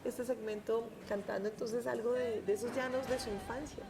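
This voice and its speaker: A woman's voice: fairly high pitch, fairly even intonation, normal speed, background noise. A woman hosting a television interview in a city café, asking her questions at length.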